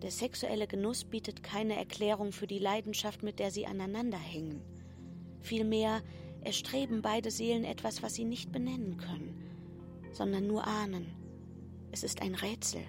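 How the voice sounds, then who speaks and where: A woman reading scripted narration in a measured, explanatory manner. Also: medium pitch, creaky. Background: music.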